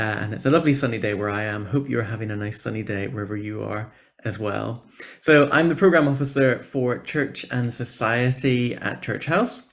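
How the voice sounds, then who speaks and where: A man with a Northern Irish accent giving an informal, encouraging conference presentation over a video call. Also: mid-range voice. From Northern Ireland. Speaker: church programme officer, guest speaker.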